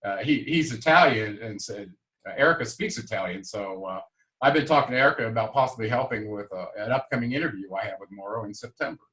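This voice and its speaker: A man hosting a podcast panel, asking questions in a halting, casual way with many fillers and restarts. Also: fairly low pitch, bright, forceful tone, poor audio. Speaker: podcast host. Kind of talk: casual interview chat.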